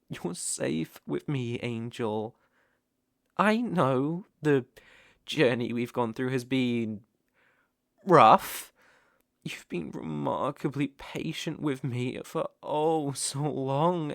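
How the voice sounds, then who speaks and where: A British man playing an obsessive, softly possessive stalker in an intimate ASMR roleplay, hushed and coaxing. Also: mid-range voice, creaky, dry recording, clean studio sound, exaggerated voice.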